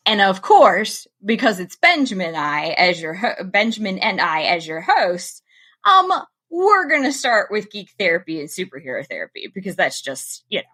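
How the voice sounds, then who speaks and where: A woman's voice: mid-range voice, normal speed. A woman with a slightly nasal voice co-hosting a therapy podcast, chatting casually with frequent laughs. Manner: bubbly, laughs often, lively intonation. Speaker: podcast co-host, social worker.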